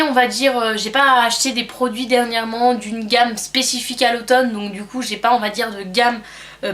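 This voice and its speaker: A woman's voice: medium pitch, sharp tone. A young French woman with a cold chatting at home as a beauty vlogger, rambling and hesitant with lots of fillers. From France. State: has a cold. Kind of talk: casual chat answering a tag.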